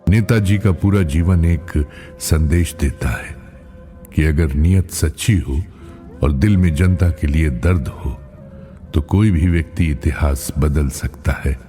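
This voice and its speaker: A man reading a scripted tribute as a spokesperson, solemn, measured and reverent, with deliberate pauses. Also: extremely low pitch, mellow. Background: music.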